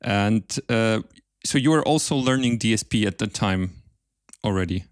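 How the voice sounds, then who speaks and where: A male podcast host in a dry, dead-quiet recording spot, feeling his way through questions to a guest. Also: fairly low pitch, moderate pace, no room sound. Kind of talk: conversational interviewing.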